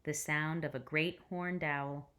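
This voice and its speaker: Woman, teacher, low voice, unhurried storybook reading, expressive, pausing often, softening into hush. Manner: deliberate phrasing with pauses, dropping to hushed tones. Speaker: teacher reading a picture book aloud. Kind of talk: expressive read-aloud storytelling for children.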